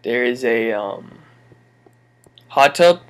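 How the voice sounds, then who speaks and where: A man's voice: mid-range voice, lively intonation, slow. A young man hosting his own gaming video, casually walking viewers through builds with a rambling, laid-back delivery full of fillers. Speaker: gaming channel host. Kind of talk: casual game walkthrough commentary.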